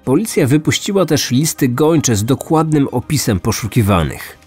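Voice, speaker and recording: A man's voice: medium pitch, animated, normal speed, a little mellow, slightly rough. Male true-crime narrator over music. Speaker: true-crime podcast host. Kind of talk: scripted storytelling narration.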